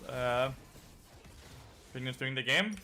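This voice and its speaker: Danish-accented male streamer, relaxed and profane, bright voice. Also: mid-range voice, measured pace, slight background noise. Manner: casual, swears, answers chat between plays. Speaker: game streamer and coach. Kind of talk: casual gameplay commentary.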